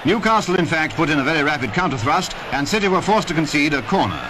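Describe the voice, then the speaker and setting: A man's voice: a little high, expressive, fast talker, background noise. A man with a British accent giving formal, clipped scripted sports narration as a 1950s newsreel commentator. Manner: formal, clipped announcer delivery. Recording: vintage 1950s newsreel, loud music behind. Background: loud music.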